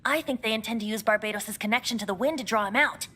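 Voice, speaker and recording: A woman's voice: a little high, fast talker, bright, forceful tone. A woman voicing a playful, knowing bard in a video game, light and sly in delivery. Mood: thoughtful.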